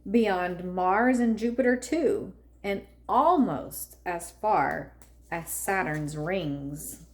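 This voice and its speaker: A woman reading a children's picture book aloud expressively, with deliberate phrasing and drawn-out emphasis. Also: fairly low pitch. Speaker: read-aloud narrator for children.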